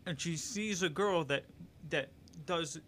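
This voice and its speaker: A man in a relaxed podcast chat with a somewhat high, bright voice. He gets emotional easily and stumbles through repeated words as he restarts his thoughts. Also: takes it slowly, a little hiss or hum. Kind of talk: casual chat.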